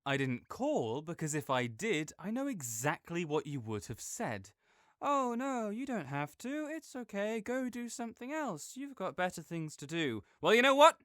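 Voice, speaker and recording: A young man playing a caring, easygoing friend who speaks gently and reassuringly to the listener in an audio roleplay, with some soft joking. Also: high-pitched, big pitch swings, moderate pace, no room sound, studio quality.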